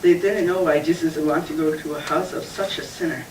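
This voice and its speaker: High-voiced man telling a Bible story. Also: fairly even intonation, fairly quick, a little mellow, slight background noise. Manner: patient, explaining storyteller. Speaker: puppeteer playing Carl. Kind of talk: church puppet show, Bible story telling. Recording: echoey room, distant mic.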